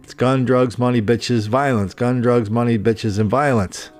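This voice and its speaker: A man's voice: medium pitch, fairly even intonation, measured pace, darkish tone, faint music behind. A man delivering an indignant opinion monologue as a political commentator, repeating points for emphasis.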